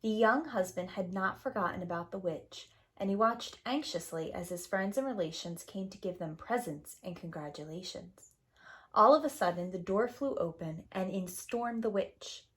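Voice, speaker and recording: A woman reads a children's story aloud at a middle pitch. Her delivery is expressive, deliberate and dramatic, in the manner of a preschool teacher.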